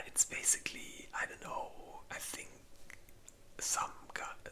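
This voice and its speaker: ASMR host, fully whispered and very crisp-bright, breathy, with halting, informal delivery. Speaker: ASMR video creator. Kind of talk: whispered ASMR movie list.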